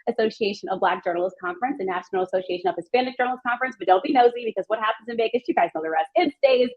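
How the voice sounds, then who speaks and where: Woman, upbeat sports show host, brisk chatty delivery, slightly worn voice, dull streamed sound. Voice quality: voice worn and deeper than usual. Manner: excited, upbeat. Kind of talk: live sports talk, chatty.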